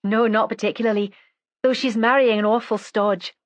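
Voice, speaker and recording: A woman voicing a good-humoured, wry lady companion in an audiobook, conversational and lively. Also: on the high side, moderate pace, no echo, pitched above the performer's own voice, close to an everyday voice. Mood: chatty.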